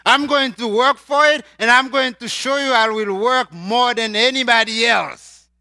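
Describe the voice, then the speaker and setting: Haitian preacher with a bright voice. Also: male voice, very high-pitched, unhurried, no echo, clean studio sound. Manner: swings from loud emphatic lines to quiet spoken asides. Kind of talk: sermon.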